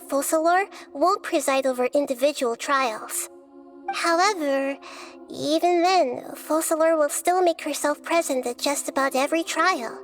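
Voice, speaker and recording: High voice, calm and measured, gentle young goddess of wisdom, game cutscene, faint music behind. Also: female voice.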